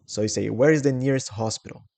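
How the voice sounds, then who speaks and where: Brazilian-accented male English teacher, mid-pitched, patient and clear, repeats phrases for learners. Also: fast talker, mellow. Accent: Brazilian Portuguese. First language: Portuguese. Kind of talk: English lesson.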